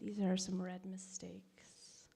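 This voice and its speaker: A gravelly-voiced woman in her thirties performs her poetry at a live reading. She moves between hushed whispers and loud, raw cries, slipping between English and Spanish. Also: on the low side, completely flat delivery, slow. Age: 30s. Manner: dramatic, shifting from whispers to screams. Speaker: poet reading at a live event.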